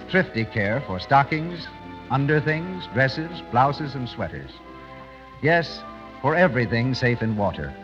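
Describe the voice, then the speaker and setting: A man with an American accent announcing and pitching a sponsor in a polished, formal 1930s radio style. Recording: muffled 1930s radio broadcast with music.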